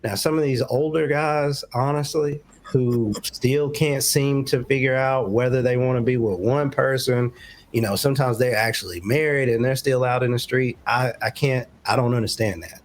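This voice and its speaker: A man co-hosting a talk show, giving relationship advice in a measured, explanatory way with casual phrasing. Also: medium pitch, fairly even intonation, slight background noise.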